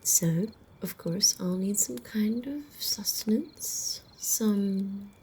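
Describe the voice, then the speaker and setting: A woman playing a haughty, sardonic female vampire in an ASMR roleplay, speaking softly and slowly, often slipping into a whisper, with a condescending, teasing drawl. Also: medium pitch, slight background noise. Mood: hesitant, guarded. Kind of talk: ASMR roleplay acting, close and intimate.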